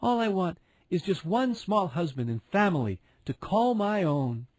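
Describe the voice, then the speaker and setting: A man voicing a tiny, gentle, polite young girl with a wistful manner in a storybook. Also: high voice, sing-song, measured pace, dry recording, studio quality, pitched above the performer's own voice, exaggerated voice. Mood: longing. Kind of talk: storybook character voice.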